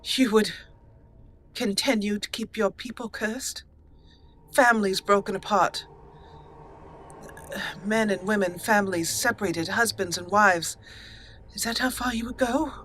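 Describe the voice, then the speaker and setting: A woman hosting a let's play, narrating in a theatrical, deliberate roleplay style with dramatic pauses. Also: mid-range voice, a bit bright, slight background noise. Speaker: gaming channel host.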